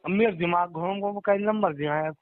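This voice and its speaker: A man's voice: high-pitched, fairly quick, no room sound. Tinny phone-line man with Mewati accent. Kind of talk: casual private phone chat. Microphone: phone call recording.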